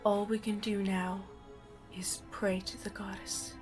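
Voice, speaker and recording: A woman's voice: medium pitch, flat delivery, a little slow, over music, lightly acted. A woman voicing a troubled, solemn princess in an amateur fantasy machinima, with formal, grave delivery. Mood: resigned, grave.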